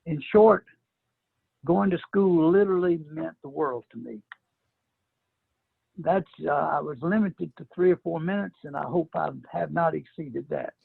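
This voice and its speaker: Older man, gravelly and husky, fond school memories, dry phone-line sound. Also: fairly high pitch, measured pace, dry recording. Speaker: panel guest. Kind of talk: personal storytelling.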